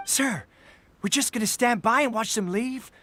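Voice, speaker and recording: An actor playing a respectful, worried soldier in a video game, addressing a commander with military deference. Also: male voice, very high-pitched, moderate pace, pushed and bright, full character voice. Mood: dismayed. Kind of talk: English video game voice acting. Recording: music behind the voice.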